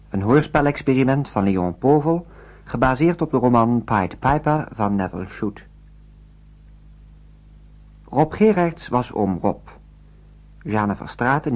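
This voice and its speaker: A man reading radio drama credits as a measured, scripted announcement. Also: lowish voice. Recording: dull, lo-fi old radio recording.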